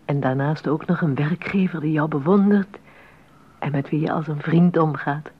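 Tender, husky-voiced wife. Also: female voice, sits low, normal speed, narrow, tinny audio. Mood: fond. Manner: tender, intimate, lightly teasing. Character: affectionate, gently teasing composer's wife and mother. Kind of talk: 1980s radio drama acting.

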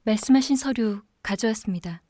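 An actress playing a polite, composed and quietly cheeky young new office employee in a Korean audio drama. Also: female voice, fairly high pitch, brisk, dry recording, clean studio sound, natural voice.

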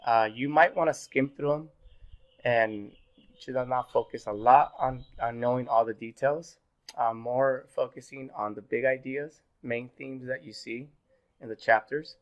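A man in his thirties, a little sick and hoarse, giving a pre-recorded online class as instructor, relaxed and full of fillers. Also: medium pitch, a little slow. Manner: relaxed, filler-heavy, repeats "go ahead". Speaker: university instructor. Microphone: webcam in corner of screen recording.

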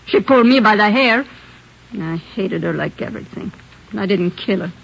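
A woman's voice: medium pitch, animated, fairly quick, creaky, slight background noise. An actress playing a defiant young woman suspected of murder, curt and snapping back, in a 1950s radio drama. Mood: defiant, sullen. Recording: dull old radio broadcast.

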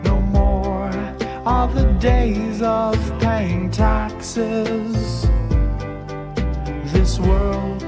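Man playing resigned doomed musician, slow theatrical singing, wry farewell, prominent music behind. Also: high-pitched, fairly even intonation. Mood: wry, mournful.

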